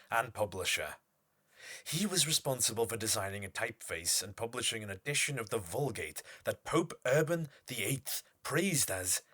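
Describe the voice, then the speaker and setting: Pompous, theatrical horror-obsessed monologuist, swinging pitch. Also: male voice, bright, forceful tone, slightly rough, full character voice. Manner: pompous, theatrical, formal and erudite. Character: pompous, obsessive typeface designer confessing a horror quest. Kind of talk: audio horror drama monologue as a voicemail.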